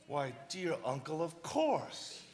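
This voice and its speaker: A man acting in live radio theater as a cheerful, welcoming gentleman nephew, theatrical and exclamatory. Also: on the high side, sing-song, slow pace, full character voice. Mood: surprised, welcoming. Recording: echoey hall, live stage recording.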